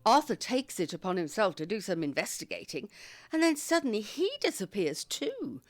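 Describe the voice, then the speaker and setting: An actress playing a shrewd, brisk old care home resident in an audio drama, matter-of-fact and wry. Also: female voice, mid-range voice, big pitch swings. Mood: concerned. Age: older. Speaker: actor.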